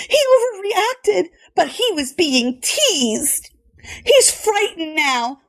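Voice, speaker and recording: A woman's voice: extremely high pitch, pitched above the performer's own voice, full character voice. A woman voicing an earnest young female scientist who speaks up for an animal.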